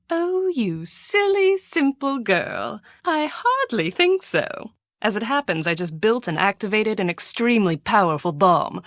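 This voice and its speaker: A woman's voice: mid-range voice, a bit bright, dry recording, clean studio sound, lightly acted. An actress playing a vain, haughty rich woman in a video game, theatrical, condescending and imperious, with a villainous streak. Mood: gloating, menacing. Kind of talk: video game character dialogue.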